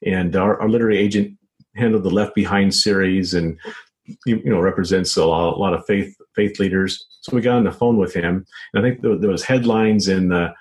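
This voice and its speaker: An American man answering as an interview guest in a conversational way, halting, often repeating words and saying "you know". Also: low voice, steady tone, rough recording. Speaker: interview guest, author and journalist.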